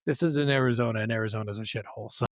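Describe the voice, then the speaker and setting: An American man, likely in his thirties, co-hosting a casual podcast and telling stories in an animated, sweary way. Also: lowish voice, rapid-fire, no echo, studio quality. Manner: animated storytelling with frequent swearing. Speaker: podcast co-host. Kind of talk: casual chat.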